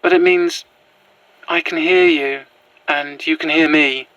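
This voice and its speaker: A woman's voice: sits low, steady tone, measured pace, fairly bright tone, distant mic, muffled recording. An actor, a woman, playing an anxious, earnest young fugitive in an audio drama, hesitant and stammering. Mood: tentative, grateful. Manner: hesitant, stammering, breathless phrasing. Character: anxious, earnest young adult on the run, haunted. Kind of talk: naturalistic audio drama acting.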